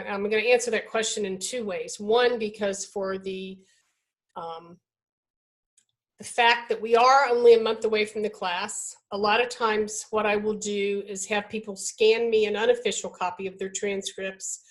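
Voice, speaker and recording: A woman admissions advisor answering questions in a webinar over a video call, talking in an emphatic, upbeat, conversational way. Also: a little high.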